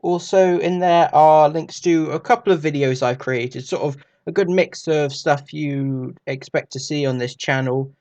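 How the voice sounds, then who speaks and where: Higher-pitched man narrating a review. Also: unhurried, hardly any pauses, darkish tone, dull audio. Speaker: reviewer and channel host.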